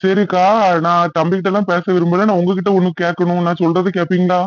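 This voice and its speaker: High-pitched, earnest cartoon pastor played by a man. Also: little pitch movement, quick pace, dry recording, lo-fi sound, lightly acted. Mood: gentle, persuasive. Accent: southern Tamil Nadu. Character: kindly, earnest male village church pastor.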